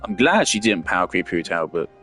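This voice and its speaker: A young man giving casual, opinionated game commentary, blunt and sweary, pausing as he thinks. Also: sits low, big pitch swings, pushed and bright, faint music behind. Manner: blunt, sweary, hesitant phrasing. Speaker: game streamer.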